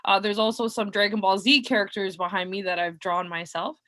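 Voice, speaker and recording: A young woman from Nova Scotia with a loud voice introduces herself as a guest speaker on a video call from her bedroom, talking earnestly with frequent ums and uhs. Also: medium pitch, steady tone, fairly quick. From Nova Scotia, Canada. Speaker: community organizer and guest speaker. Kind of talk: personal self-introduction at an online panel.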